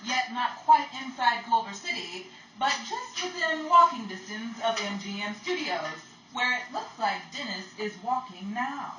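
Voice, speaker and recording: A woman's voice: fairly high pitch, lively intonation, unhurried, few pauses. A woman announcing a scripted program in old time radio style. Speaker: announcer and performer. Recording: echoey room, distant mic, loud noise.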